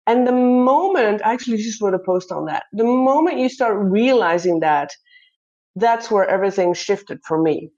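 A woman from Belgium talks about her work as a coach in a lively, emphatic way. Her voice sits at a middle pitch. The audio is a little dull and muffled, as on a remote call. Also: moderate pace. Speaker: guest, life coach. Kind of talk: casual interview talk.

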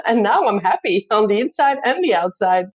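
A woman's voice: fairly high pitch, expressive, moderate pace, dull audio. A woman from Belgium, a guest life coach, speaking in a casual and upbeat interview with a lot of emphasis.

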